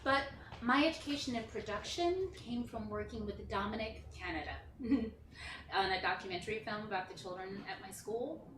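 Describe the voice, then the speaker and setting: A woman playing an earnest American children's television producer in a staged play reading, speaking in a halting, placating manner. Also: medium pitch, lightly acted. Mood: earnest, formal. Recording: roomy, distant, faintly noisy recording.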